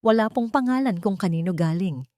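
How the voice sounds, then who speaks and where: A woman's voice: medium pitch, animated, fairly quick, warm tone, dry recording, clean studio sound, close to an everyday voice. A woman voicing a polite, deferential young housemaid in a storybook reading. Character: polite timid female housemaid.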